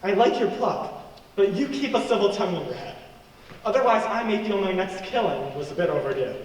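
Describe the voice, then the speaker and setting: An actor playing a commanding, sardonic fugitive on the run in a radio play. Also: male voice, high-pitched, lively intonation, fairly quick. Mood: menacing, sardonic. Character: wry fugitive man on the run, bullying and sardonic. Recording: echoey hall, far from mic, noisy.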